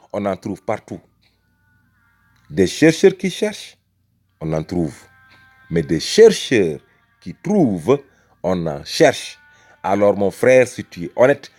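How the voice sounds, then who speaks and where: A man's voice: on the low side, a little mellow. A man from Senegal arguing a religious point with emphatic rhetoric, repeating phrases and calling the listener brother. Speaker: religious speaker. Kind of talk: impassioned religious argument.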